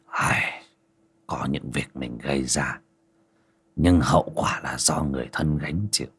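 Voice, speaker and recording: A man voicing a gaunt older fortune-teller who speaks in a hushed, sighing way. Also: rumbling low, moderate pace, breathy edge, pitched below the performer's own voice, full character voice. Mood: solemn. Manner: hushed, half-whispered, sighing.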